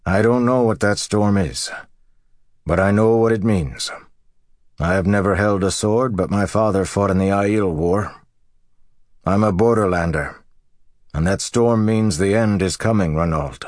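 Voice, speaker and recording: A man's voice: fairly low pitch, measured pace, pauses often, darkish tone, no echo, clean studio sound, voice pushed up, natural voice. A man voicing an earnest, plain-spoken farmer who urges a friend with solemn foreboding, in an audiobook. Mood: grave, urgent. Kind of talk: audiobook character dialogue.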